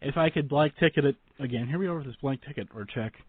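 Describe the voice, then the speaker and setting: A man's voice: medium pitch, fast, breathy edge, dry recording. A man hosting a casual interview, chatty and often repeating or interrupting himself. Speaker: talk show host, interviewer. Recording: dry, narrow phone-like sound.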